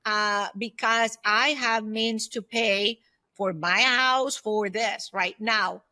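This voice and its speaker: A woman's voice: mid-range voice, fairly even intonation, takes it slowly, pushed and bright, no room sound. A woman in her fifties speaking conversationally as an interview guest, giving advice emphatically and often checking for agreement. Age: 50s. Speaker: podcast guest, former aerospace executive.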